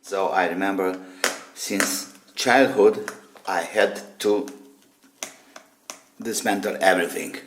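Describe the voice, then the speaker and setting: A man hosting a gadget teardown, giving a casual, unscripted demonstration and thinking aloud in halting, short phrases. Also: deep voice, fairly bright tone, slight background noise. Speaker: host of a gadget teardown video.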